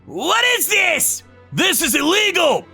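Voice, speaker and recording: A man's voice: extremely high pitch, sing-song, slow pace, creaky, soft background music, pitched above the performer's own voice, full character voice. Man doing a shrieking panicked young thug. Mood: outraged, scared. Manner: frantic shouting and whining. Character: panicked young male thugs, screaming and threatening. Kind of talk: manga dub voice acting.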